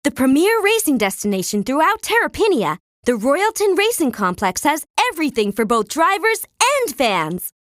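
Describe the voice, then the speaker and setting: An actress playing a perky, eager news correspondent giving a promotional on-the-scene report in a cartoonish video game. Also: female voice, high-pitched, big pitch swings, moderate pace, hardly any pauses, fairly bright tone, no echo, studio quality. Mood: bright, promotional.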